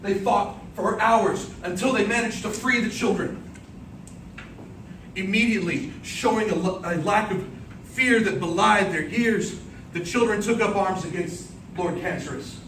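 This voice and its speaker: Male contest orator, slightly high-pitched, theatrical epic storytelling with deliberate declamation; recorded far from the mic amid clear noise. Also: fairly bright tone. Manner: dramatic, declamatory, deliberate phrasing. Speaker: speech contest speaker. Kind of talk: theatrical storytelling speech, in the manner of an epic tale. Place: indoor room before an audience. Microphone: distant microphone. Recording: roomy, distant microphone, clearly noisy background.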